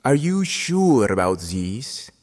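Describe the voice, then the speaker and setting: Man playing a very slow, grave nobleman. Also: mid-range voice, big pitch swings, darkish tone. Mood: concerned. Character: grave, concerned noble older brother. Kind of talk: audio drama acting. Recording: long hall reverb.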